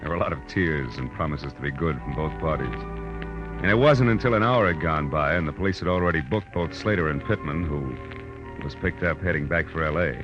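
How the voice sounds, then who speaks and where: An actor playing a tough, wry private eye in a 1940s radio crime drama, speaking in clipped slang on an old recording. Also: male voice, extremely low pitch, fairly quick, dull audio, over music. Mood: grim narration. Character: hard-boiled male private eye, wry, tough and insistent. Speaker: detective and first-person narrator.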